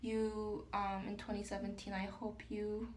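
A young woman with a raspy, hoarse voice chatting softly to a phone camera in a small tiled bathroom, with lots of fillers. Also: medium pitch, monotone, measured pace. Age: young adult. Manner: soft-spoken, many fillers, laughs often. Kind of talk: casual reflective chat to camera. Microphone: phone held at arm's length.

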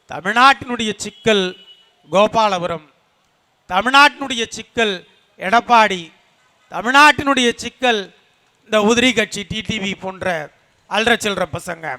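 A man's voice: sits high, pushed and bright. A man giving a fiery political rally speech as a party speaker, hammering points with rhetorical questions and repetition. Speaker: party speaker at a public meeting.

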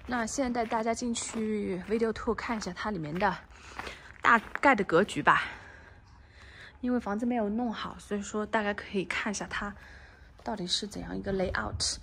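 Chatty bright-voiced woman touring a house. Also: a little high, a little hiss or hum. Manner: chatty, mixes English terms into Chinese; drops to a soft, near-whispered voice at times. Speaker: real estate agent giving a house tour. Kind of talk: casual walkthrough tour. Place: outdoors and inside a house under construction. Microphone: handheld phone camera.

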